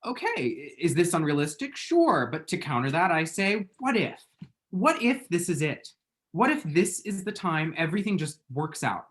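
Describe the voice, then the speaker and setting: A man's voice: fairly high pitch, big pitch swings, normal speed, a bit bright. An actor playing an anxious, lovestruck young man in a screenplay table read, chatty, emphatic and self-dramatizing. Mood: defiant, hopeful.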